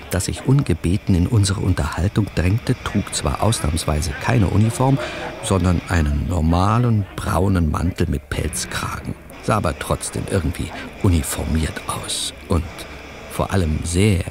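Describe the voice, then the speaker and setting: A reporter narrating a radio play. His voice is deep and gravelly, his delivery wry and conversational. Loud vehicle and street ambience runs behind him. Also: a little slow, background noise. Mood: wry, resigned.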